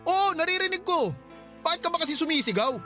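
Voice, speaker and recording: A man's voice: extremely high pitch, expressive, fast, bright, forceful tone, faint music behind, full character voice. A man playing a defensive, careless young husband with a Filipino accent, grumbling excuses in a radio drama.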